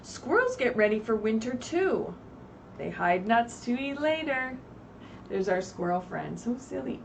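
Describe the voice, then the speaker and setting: A woman reading aloud expressively at a children's story time, pausing to put simple questions to her young listeners. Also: a little high, sing-song, creaky. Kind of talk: animated read-aloud with asides to young children. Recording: distant mic, faint background noise, livestream.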